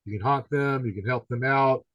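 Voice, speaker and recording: A man's voice: medium pitch, moderate pace, fairly bright tone, dry recording, highs cut off. Man interviewing in a dry, close sound. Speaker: podcast interviewer. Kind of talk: conversational album interview.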